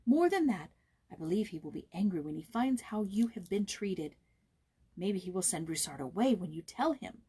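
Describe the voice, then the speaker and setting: A woman voicing a spirited, motherly girl in a storybook, earnest and emphatic, quick to indignation on a friend's behalf. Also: medium pitch, sing-song, mellow, slightly rough. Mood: indignant, bold.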